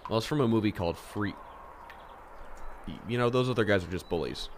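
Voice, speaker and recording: Low-voiced, lively, earnest high school boy played by a man. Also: brisk, slight background noise, close to an everyday voice. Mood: gentle, concerned. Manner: earnest, questioning. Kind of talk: amateur audio drama acting.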